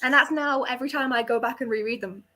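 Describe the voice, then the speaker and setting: A woman's voice: a little high, quick pace, sharp tone. A woman chatting casually about books in a blunt, jokey, sweary way. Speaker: readalong panelist. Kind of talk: casual book discussion, banter.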